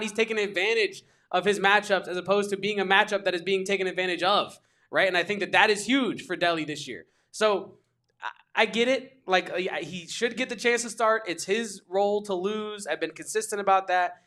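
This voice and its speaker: A man co-hosting a sports podcast, talking casually and excitably, with emphatic repetition and quick restarts. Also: sits high.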